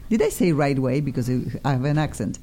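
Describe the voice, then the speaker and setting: A woman with a very deep, mellow voice and a Polish accent. She hosts talk radio informally, gushing and chatting as she goes. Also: lively intonation, brisk, a little hiss or hum. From Poland. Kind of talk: casual, chatty radio hosting.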